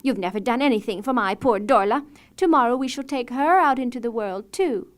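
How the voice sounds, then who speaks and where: A harsh, greedy stepmother snaps and scolds at full volume, her voice shrill and spiteful, as a woman storyteller puts her on. It is a storybook villain played broadly. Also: brisk, natural voice. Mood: scolding. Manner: loud, scolding.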